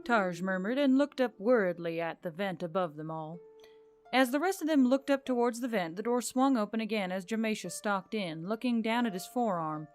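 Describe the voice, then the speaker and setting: A woman's voice: medium pitch, lively intonation, normal speed, soft background music. A woman reading scripted fiction as an audiobook-style narrator.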